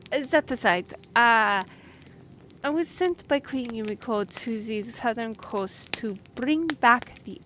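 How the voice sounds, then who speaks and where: A woman's voice: on the high side, fairly bright tone, a little hiss or hum, full character voice. A woman playing an earnest young half-elf noblewoman and royal envoy in a fantasy roleplay audio drama, speaking formally with some awkward hesitation. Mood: flustered. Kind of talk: fantasy roleplay audio acting, addressing the listener.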